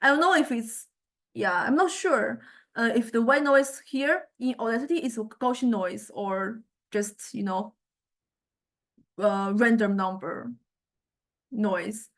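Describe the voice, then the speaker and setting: A woman lecturer with a somewhat high voice talks through an informal software demonstration. She speaks haltingly, thinking aloud and feeling around for the right words as she explains.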